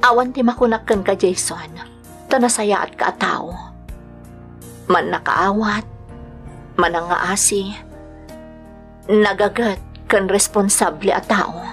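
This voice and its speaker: Gentle single mother, hesitant, sincere, mid-pitched, radio drama over music. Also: slow, pauses often. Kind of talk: Ilocano radio drama acting.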